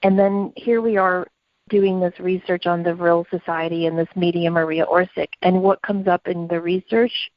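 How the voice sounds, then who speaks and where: A woman with a slightly low voice co-hosts a conversational podcast. She sounds inquisitive and articulate, stressing her points, on a very dry and somewhat dull recording. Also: little pitch movement, normal speed, no room sound. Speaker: podcast co-host.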